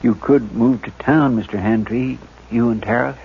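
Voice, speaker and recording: An actor playing an earnest, soft-hearted loyal deputy in a 1950s radio drama, stammering and deferential. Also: male voice, medium pitch, steady tone, normal speed, a little hiss or hum, lightly acted. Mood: pleading. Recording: dull 1950s radio broadcast.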